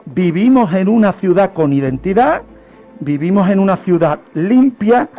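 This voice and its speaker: A man's voice: sits high, dark tone. A man presenting a history segment on the radio, telling it like an emphatic lecture full of rhetorical questions and repetition.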